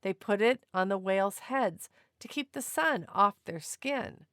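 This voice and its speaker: A woman narrating a children's picture book aloud in an expressive, deliberate storytelling manner. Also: medium pitch, no echo, studio quality.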